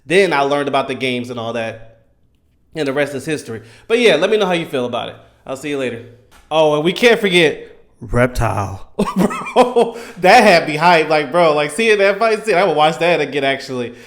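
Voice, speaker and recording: A man in his thirties giving casual, enthusiastic fan commentary in an animated, emphatic, slangy way. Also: on the high side, moderate pace. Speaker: gaming content creator.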